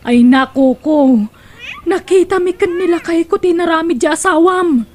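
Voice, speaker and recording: A woman's voice: very high voice, moderate pace, darkish tone. A woman playing an agitated, gossiping villager in an Ilocano comedy radio drama, exclaiming as she tells her news in an Ilocano accent. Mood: alarmed tattling. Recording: clearly noisy background.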